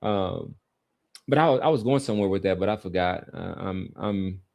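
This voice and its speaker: A man in a casual podcast chat, talking in a reflective, halting and rambling way. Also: sits low, lively intonation, gravelly, darkish tone. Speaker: sports podcast host. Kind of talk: casual sports and society chat.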